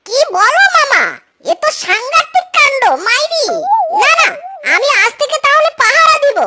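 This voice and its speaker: An actor voicing a hapless, excitable married fox farmer in a Bengali cartoon, with folksy, exclamatory complaining. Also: very high voice, normal speed, background noise. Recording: loud music behind the voice.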